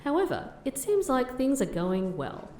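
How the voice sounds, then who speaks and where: A woman acting as a composed, polite, stoic undercover agent in an audio drama, with a measured, proper manner. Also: high voice, huge pitch swings, darkish tone, slight background noise, rough recording. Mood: reflective.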